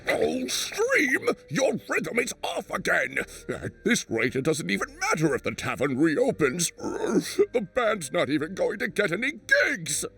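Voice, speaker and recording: A gravelly frog bandleader. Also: male voice, high-pitched, a little slow, hardly any pauses, distant mic, exaggerated voice. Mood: exasperated. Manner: animated, exclaiming. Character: bossy frog band conductor, male.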